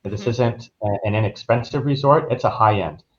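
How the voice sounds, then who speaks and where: A man's voice: mid-range voice, normal speed. An American man speaking as a travel agent guest on a podcast, explaining things in an informal, conversational way. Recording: dull, lo-fi online call audio.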